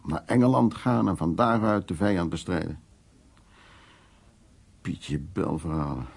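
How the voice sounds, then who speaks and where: An elderly gentleman in a radio play, with a low, husky voice full of gravel. He is a wry old charmer who tells of his past in short, unhurried fragments. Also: full character voice. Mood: wry reminiscing. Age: 70s or older. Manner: unhurried, fragmentary, reminiscing storytelling. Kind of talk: naturalistic radio drama monologue and dialogue.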